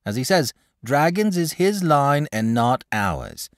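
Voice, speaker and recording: A sensible, kindly country mother, put on by a male storyteller, talking in homely rustic speech with a lively rise and fall. The sound is close and dry. She is a storybook figure. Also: mid-range voice, takes it slowly, no echo, voice pushed up.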